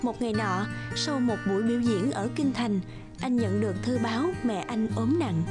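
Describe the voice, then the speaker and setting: Casting: female storybook narrator, higher register, over a prominent music bed. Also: moderate pace.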